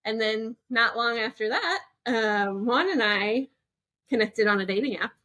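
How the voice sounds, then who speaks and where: A woman's voice: on the high side, a little slow, bright, forceful tone, no echo. A young adult woman, a podcast guest, telling her personal story in a conversational way.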